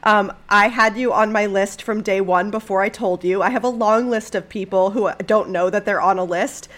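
A woman's voice: mid-range voice, steady tone, normal speed, fairly bright tone. Chatty woman podcast host, a bit sniffly. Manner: upbeat, chatty, self-deprecating. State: sniffly after covid. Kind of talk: podcast interview and intro.

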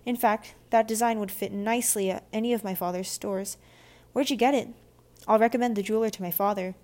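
A woman's voice: mid-range voice, fairly even intonation, brisk, a little mellow, close to an everyday voice. A woman voicing a well-off, chivalrous young boy in a children's audiobook, polite with a pointed, teasing edge. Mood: kindly, pointed. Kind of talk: children's audiobook character dialogue.

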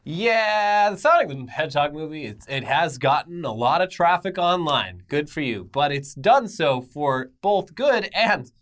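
A man with a moderately high voice presents entertainment news as a co-host. He reads prepared commentary but breaks into casual banter. His manner is emphatic, irreverent and sarcastic. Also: expressive, measured pace, hardly any pauses, a bit bright.

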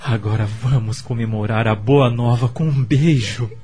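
An actor with a Brazilian accent playing a kind, devout middle-aged husband, tender and measured, in a radio soap opera. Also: male voice, medium pitch, steady tone, a little mellow, husky. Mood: reassuring, loving. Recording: music under the voice.

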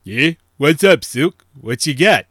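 A man's voice: on the high side, expressive, slow pace, fairly bright tone, breathy edge, no room sound, studio quality, pitched above the performer's own voice, full character voice. A man voicing a portly, wary underworld forger in a narrated story, grumbling in blunt street slang. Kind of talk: acted character dialogue in a narrated story.

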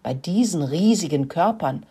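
A woman's voice: lowish voice, lively intonation, moderate pace, mellow, husky. A woman voicing a sensible, slightly bossy little girl mouse in a children's story. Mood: scoffing.

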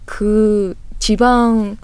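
A woman co-hosting a casual talk podcast, reflecting slowly and deliberately, often hedging her points. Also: mid-range voice, level tone, a loud crowd. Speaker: podcast co-host. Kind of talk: casual chat.

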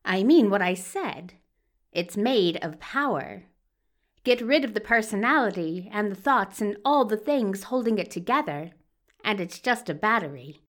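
A woman's voice: mid-range voice, animated, unhurried, natural voice. A woman voicing a menacing, contemptuous male villain doctor with a dangerous, commanding manner in an audio drama. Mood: scornful, calculating. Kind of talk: audio fiction acting.

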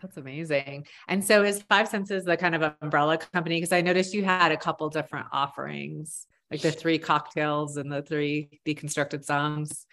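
A woman interviewer with a notably low voice asks friendly, curious questions. Her delivery is casual and conversational. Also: fairly even intonation, moderate pace, hardly any pauses, a bit bright. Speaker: interviewer, host.

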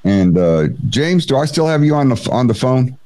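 A man's voice: mid-range voice, sing-song, normal speed, mellow. A man hosting a casual livestream, chatting loosely and trailing off mid-thought while he works his streaming gear. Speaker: livestream host. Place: home setup with streaming gear.

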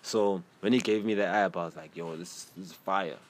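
A young South African man with a South African accent, hosting a podcast and talking in a relaxed, rambling way full of slang. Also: deep voice, normal speed. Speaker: podcast host. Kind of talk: casual interview chat. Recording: faint background noise.

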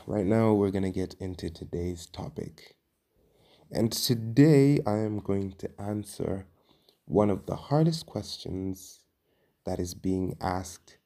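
A man's voice: low voice, gravelly, mellow. A young man hosting a podcast, talking through a casual monologue in a hesitant, informal, rambling way. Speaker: podcast host.

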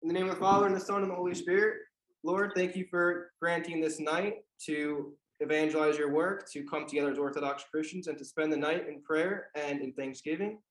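A man's voice: a little high, steady tone, a little fast, a bit bright. A man leading a spoken group prayer. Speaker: prayer leader. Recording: echoey room.

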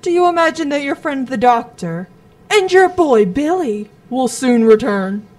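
A woman acting a high-voiced, menacing criminal mastermind. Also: very animated, darkish tone. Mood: gloating threat. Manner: formal, measured, menacing. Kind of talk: radio drama acting.

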